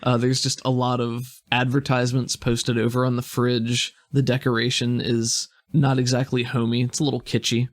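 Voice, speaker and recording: A man's voice: medium pitch, monotone, moderate pace, hardly any pauses. A man working as game master, improvising descriptive narration that sets scenes in a tabletop game.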